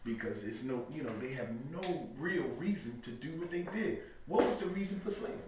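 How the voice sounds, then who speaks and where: A man's voice: fairly high pitch, expressive, fairly quick. A man giving interview answers in a preachy, emphatic way, often checking "you know what I mean". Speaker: interview guest. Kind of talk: emphatic religious talk. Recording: echoey room, mic far off, light noise.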